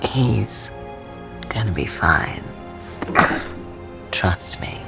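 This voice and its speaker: An actress playing a sly, self-assured female thief in a video game, low and gravelly, cool and teasing. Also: sing-song, very slow, music in the background, heavily acted. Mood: conspiratorial. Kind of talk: video game cutscene acting.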